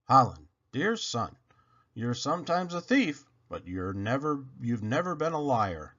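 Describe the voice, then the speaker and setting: A man's voice: mid-range voice, sing-song, measured pace, fairly bright tone. A man voicing an earnest father questioning his wayward son in a children's storybook. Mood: concerned. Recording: dull lo-fi sound.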